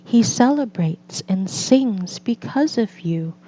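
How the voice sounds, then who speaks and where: A woman reading a picture book aloud as a storyteller, in gentle, deliberate phrases with drawn-out pauses. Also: mid-range voice, expressive, a little hiss or hum. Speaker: read-aloud storyteller for children and English learners. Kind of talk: slow scripted picture-book narration. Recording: slightly dull, lo-fi recording.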